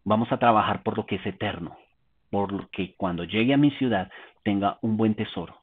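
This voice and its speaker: A man preaching a teaching sermon, explaining Bible passages in a calm way and asking rhetorical questions. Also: on the low side, normal speed, husky. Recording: tinny phone-like sound.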